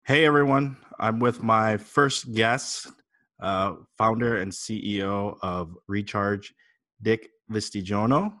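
Low-voiced man hosting a podcast. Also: slow pace, a bit bright. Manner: hesitant, filler-heavy questions. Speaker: podcast host. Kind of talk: scripted intro then interview questions.

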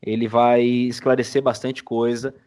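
Guy guest on a podcast, average pitch, explaining stuff in casual chat. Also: flat delivery, a little slow, darkish tone. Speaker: podcast guest, audio drama creator.

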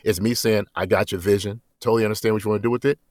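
A man who works as a voice actor, speaking as an interview guest in a relaxed way and often checking in with "you know.". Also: fairly low pitch, racing, dry recording, studio quality. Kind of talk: conversational interview.

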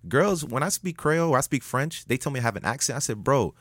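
A man telling a story as a podcast guest, animated, jumping up in pitch when he jokes. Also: fast, husky, no room sound, studio quality. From United States. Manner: animated, rises sharply in pitch when joking. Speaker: guest, public speaker.

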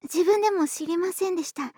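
A woman playing an affectionate, polite young neighbor in an ASMR voice drama, speaking in a soft, intimate, gentle murmur close to the mic. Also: extremely high pitch, a little fast, no room sound. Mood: shy, tender, intimate. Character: affectionate, polite young adult woman next door, tipsy and doting. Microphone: close dry studio microphone.

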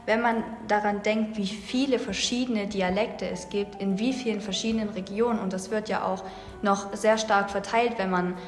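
A woman's voice: medium pitch, fairly even intonation, moderate pace, soft background music. Woman with a Saxon accent, casual podcast talker, reverberant room. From Saxony, Germany. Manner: chatty, thinks aloud with fillers. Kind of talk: casual discussion. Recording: echoey room with long reverb.

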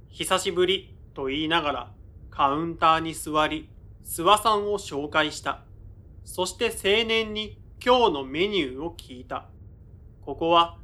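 A man acting as a young male narrator in an audio drama, his voice very bright and forward, pitched a little high. He tells his own story in measured, understated literary prose, with a deferential streak toward his elders.

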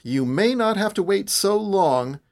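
A man's voice: high-pitched, expressive, a little slow, pitched above the performer's own voice. A man voicing a stubborn, defiant young woman in a storybook, challenging and intense. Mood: angry, challenging.

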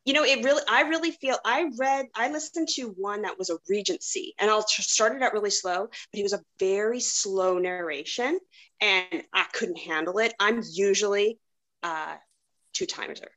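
Bright-voiced woman, halting casual talk. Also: medium pitch, rough recording. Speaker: podcast panelist. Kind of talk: casual podcast discussion.

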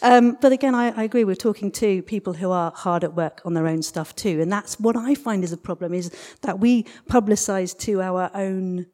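A woman's voice: mid-range voice, moderate pace, hardly any pauses, dark tone. A woman hosting a live interview, encouraging and affirming, addressing both her guest and the room. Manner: encouraging, affirming, rallies applause. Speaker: podcast interview host. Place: live podcast festival stage with audience.

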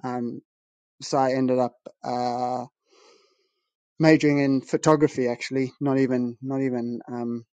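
A man's voice: mid-range voice, little pitch movement, measured pace, mellow, dry recording, dull audio. A man from Zimbabwe, a guest artist on a video call, talking in a rambling, modest way and often restarting his sentences. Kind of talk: casual video-call interview. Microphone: video call over a weak connection.

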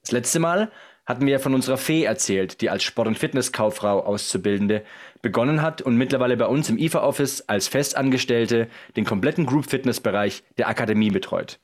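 A man's voice: mid-range voice, a little fast. A man hosting a podcast, reading an upbeat intro and asking questions in a friendly, casual manner, laughing along with his guest. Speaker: podcast host.

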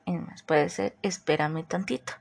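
A woman's voice: lowish voice, fairly even intonation, a little slow, gravelly. A woman from Mexico with a mixed Mexico City, Morelos and northern Mexican accent, hosting a chatty podcast and putting questions to her listeners. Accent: Mexican Spanish, mixed Mexico City, Morelos and northern. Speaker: podcast host. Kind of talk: casual podcast monologue.

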